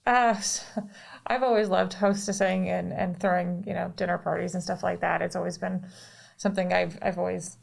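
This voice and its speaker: A woman's voice: lowish voice, steady tone, normal speed, creaky. A woman in her thirties from Toronto, a hospitality worker and guest, chatting in a bubbly way with playful quips. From Toronto, Canada. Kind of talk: casual studio chat. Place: studio.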